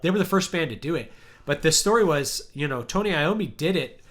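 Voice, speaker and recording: A man hosting a casual chat, talking in a rambling, animated way and acting out quotes, his deep voice worn because he is sick. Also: a little fast. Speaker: livestream host. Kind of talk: casual chat about music.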